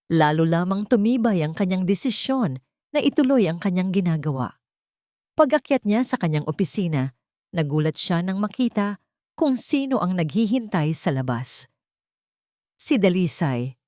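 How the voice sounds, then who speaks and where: A woman's voice: lowish voice, a little mellow, no room sound, clean studio sound. A woman reading scripted dramatic fiction as a storyteller.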